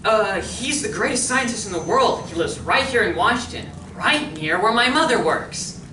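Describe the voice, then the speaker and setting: Radio play boy female performer: eager, candid, boyish, animated delivery in a noisy, reverberant room. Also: medium pitch, normal speed, close to an everyday voice. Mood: thoughtful. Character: eager, honest, chatty schoolboy. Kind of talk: stage radio drama acting. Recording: echoey, distant, noisy live room.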